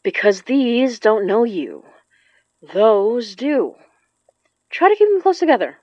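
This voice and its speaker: An actress playing a young woman who works magic, tired, wry and protective, with a brisk, matter-of-fact delivery in a naturalistic audio drama. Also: on the high side, sing-song, a little mellow, no echo, muffled recording, exaggerated voice. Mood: businesslike.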